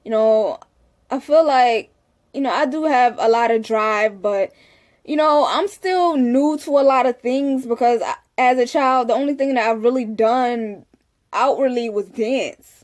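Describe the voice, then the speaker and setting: A woman's voice: a little high, unhurried, a little mellow. A young woman in casual, candid talk to the camera, rambling with frequent "you know"s. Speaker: vlogger.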